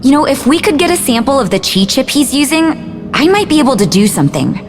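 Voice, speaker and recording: Clever scientist, expressively pitched. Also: female voice, big pitch swings, fairly quick, faint music behind. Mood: earnest, explaining. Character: clever, earnest female scientist, dry and impatient. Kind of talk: video game voice acting.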